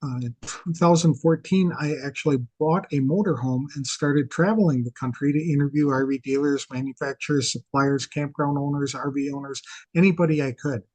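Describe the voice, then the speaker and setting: A man's voice: on the high side, brisk, a little mellow, no echo, poor audio. Wisconsin-accented man in his sixties. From Wisconsin, USA. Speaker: podcast guest. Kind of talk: conversational interview storytelling.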